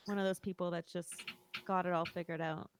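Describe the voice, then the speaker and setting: A woman's voice: fairly low pitch, fairly even intonation, brisk, background noise. A woman from Toronto chatting casually as a musician guest on a podcast. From Toronto, Canada. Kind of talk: casual chat.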